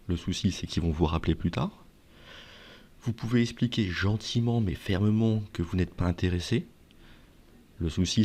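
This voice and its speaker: Low-voiced man explaining to viewers. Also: darkish tone. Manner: conversational, explanatory, poses questions and answers them. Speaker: video presenter giving advice. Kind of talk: explainer talk to viewers, semi-scripted.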